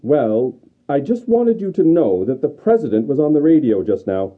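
A man playing a gentle, concerned middle-aged father in an audio drama, speaking mildly and solicitously. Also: mid-range voice, big pitch swings, moderate pace. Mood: gently concerned. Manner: solicitous, mild. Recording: muffled, narrow band, as through door.

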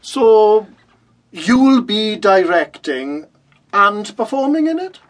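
An actress playing a dry, patient, gently sarcastic amateur drama member in a comic radio play, with a slightly husky voice. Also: female voice, mid-range voice, very animated, slow pace. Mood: sardonic. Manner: dry, understated, sardonic.

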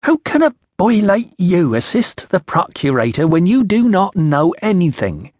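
Audiobook role: proud, indignant ancient tribeswoman, played by a male narrator, raised pitch, slow and emphatic, blunt. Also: huge pitch swings, a little mellow, dry recording, heavily acted. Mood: scornful, defiant.

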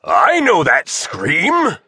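A man voicing a gruff but friendly pirate father in a children's storybook. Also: on the high side, wildly swooping pitch, extremely slow, bright, forceful tone, no room sound, clean studio sound, voice pushed up, full character voice. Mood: hearty. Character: Bob, big-hearted bearded pirate dad, gruff but friendly.

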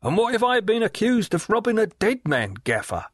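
The reader's voice jumps way up and pushes forward for a sly, leering river scavenger, as a man puts him on. He coaxes and wheedles in rough slang, recorded close and dry with no room sound. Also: sits high, big pitch swings, a little fast, dry recording, pitched above the performer's own voice, full character voice. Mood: wheedling.

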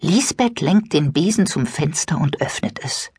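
A woman narrating a children's audiobook with expressive, scripted storytelling. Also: low-pitched, measured pace.

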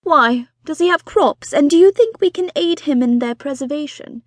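A woman voicing a weary, bookish husband and father of five who answers in a dry, teasing, deadpan way. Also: high-pitched, moderate pace, dry recording, voice pushed up.

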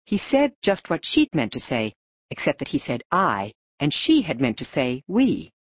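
Woman audiobook narrator, low pitch, expressive swings in tone, children's novel, scripted storytelling. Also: moderate pace, dry recording. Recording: dull, narrow lo-fi audio.

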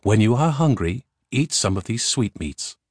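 A man's voice: lowish voice, big pitch swings, moderate pace, darkish tone, dry recording, clean studio sound. A man voicing anxious, loving parents of an only son in a fairy tale. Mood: tender.

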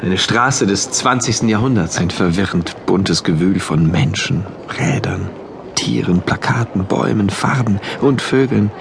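A man playing an orderly, wonderstruck engineer who narrates his diary of a regimented future in measured, deliberate phrases, in a radio play. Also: sits low, vocal fry, background noise. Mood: wonder. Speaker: narrator of an audio drama. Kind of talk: literary first-person narration in a radio play. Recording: music behind the voice.

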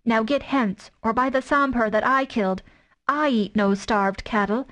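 Woman reader doing a fierce mother wolf, higher pitched, defiant and fiery. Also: normal speed, lightly acted. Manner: defiant, high and forceful.

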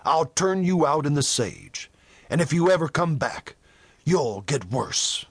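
A man's voice: on the high side, very animated, unhurried, husky, no echo, full character voice. A man voicing a grim, menacing religious elder. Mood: harsh threat. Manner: tense, harsh, commanding. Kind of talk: audiobook character dialogue in a western.

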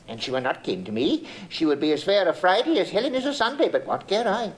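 An actor playing a tetchy, meddling uncle in classical Shakespearean comedy, fussy and peevish in delivery. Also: male voice, high-pitched, big pitch swings, quick pace, low-quality recording. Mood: huffy. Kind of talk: classical Shakespearean stage acting.

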